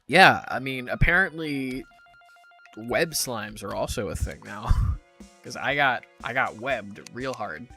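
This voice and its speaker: A man's voice: medium pitch, expressive, slow, sharp tone. A young man from Puerto Rico chatting casually while streaming a game, rambling and reading chat aloud. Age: young adult. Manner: rambling, easily distracted, reads chat aloud. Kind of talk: casual gaming livestream chat. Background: game music.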